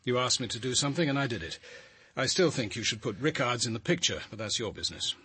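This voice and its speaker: Measured English detective, husky voice. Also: male voice, mid-range voice, expressive, sharp tone, full character voice. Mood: firm, sceptical. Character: measured, sceptical male police detective, quietly authoritative. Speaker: actor in a radio drama. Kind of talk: radio drama dialogue and first-person narration.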